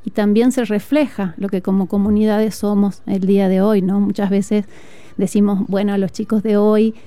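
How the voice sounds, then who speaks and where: A woman from northern Argentina with an Argentine accent, a music teacher speaking earnestly and reflectively in an interview, with frequent "eh" pauses. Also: medium pitch, little pitch movement, warm tone, clean tone, dry recording. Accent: Argentine Spanish. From Tucumán, northern Argentina. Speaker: music teacher and flutist, guest. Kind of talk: conversational interview. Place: outdoor school courtyard.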